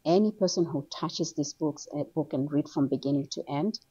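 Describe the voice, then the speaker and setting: A middle-aged woman from Somaliland, raised in Kenya and England, telling her story as an author guest in an animated, conversational way. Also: brisk, slightly rough. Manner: animated storytelling, rises high when excited. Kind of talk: conversational interview. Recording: dull lo-fi sound.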